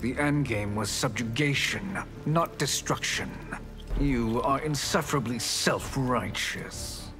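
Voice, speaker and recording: A scheming sorcerer villain played by a man talks in a medium-pitched, smugly formal voice. Music plays clearly behind his sly fighting-game taunts. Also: takes it slowly, lightly acted. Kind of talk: video game fight dialogue.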